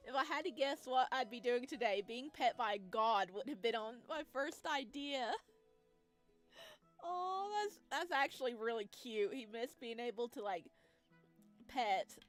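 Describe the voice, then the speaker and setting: A woman hosting a let's play, narrating a visual novel and reacting casually in an expressive, informal way. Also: fairly high pitch, unhurried. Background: music.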